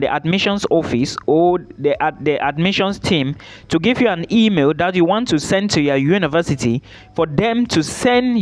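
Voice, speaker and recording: A man hosting his own channel, giving emphatic advice straight to the viewer, repeating key points and checking in with "okay?". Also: a little high. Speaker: study-abroad YouTuber. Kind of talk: direct-to-camera advice vlog.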